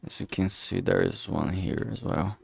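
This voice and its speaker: A man thinking aloud in a halting way, chatting casually on a solo livestream as he works. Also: deep voice, steady tone, creaky, a little mellow, slightly rough. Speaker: streamer making a visual novel. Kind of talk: casual solo livestream chat while working.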